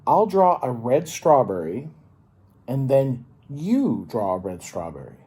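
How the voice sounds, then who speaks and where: A man voicing a patient, encouraging woman crayon teacher from a children's picture book, coaxing gently and supportively. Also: mid-range voice, big pitch swings, a little slow, breathy edge.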